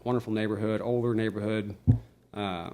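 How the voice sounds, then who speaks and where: A man's voice: fairly low pitch, fairly even intonation, brisk, a little mellow. A man, a podcast guest who rambles and jokes with many restarts. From Middle Tennessee. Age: 40s. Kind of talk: casual chat.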